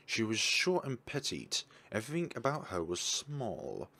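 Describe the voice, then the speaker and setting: A man's voice: lowish voice, huge pitch swings, a little slow, bright, forceful tone. A man reading a visual novel's text aloud, stumbling and correcting himself, with casual asides about the game. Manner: stumbling reads with self-corrections.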